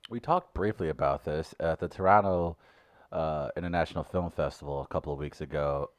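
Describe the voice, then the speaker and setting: Podcast co-host, male, lively delivery, dry close mic. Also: brisk, no echo. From St. Louis area. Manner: animated, jumps into high mocking voices when quoting. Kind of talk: casual chat.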